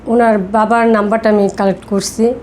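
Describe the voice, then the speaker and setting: A woman's voice: mid-range voice, level tone, a little fast, a little mellow. A woman with a Bangladeshi accent giving a personal account as an interview guest, insistent and conversational. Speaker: podcast guest. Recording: faint background noise.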